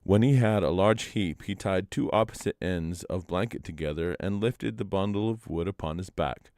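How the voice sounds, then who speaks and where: A man's voice: low-pitched, normal speed, darkish tone, dry recording, clean studio sound. A man narrating a traditional legend aloud as a storyteller, in a live reading. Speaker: storyteller and reader.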